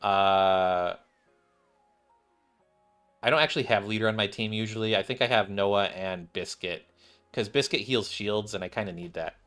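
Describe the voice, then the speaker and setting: Male gamer, lower register, casual unscripted commentary that wanders as he thinks aloud, faint music underneath. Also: normal speed, pauses often, fairly bright tone, clear, resonant tone. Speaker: gaming video creator. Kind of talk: casual off-the-cuff gameplay commentary.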